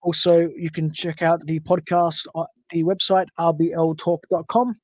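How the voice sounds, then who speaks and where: A man's voice: on the high side, flat delivery, normal speed, dry recording. An Australian man hosting a solo podcast livestream, chatting casually and rambling with frequent self-corrections. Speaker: podcast host.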